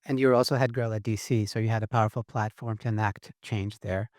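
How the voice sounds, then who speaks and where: A man's voice: on the low side, steady tone, fast talker, a little mellow, no room sound, clean studio sound. A man moderating a student panel, posing questions and praising the answers.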